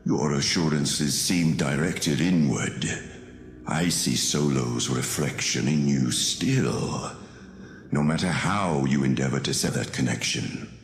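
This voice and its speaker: Ancient villain master for audio drama: extremely deep, creaking, contemptuous, ominously slow delivery. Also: male voice, full character voice. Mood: sinister, probing. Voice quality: gravelly, creaky. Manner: slow, deliberate, ominous, imperious. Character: menacing ancient reptilian dark-side master, cunning and contemptuous. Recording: echoing voice over music.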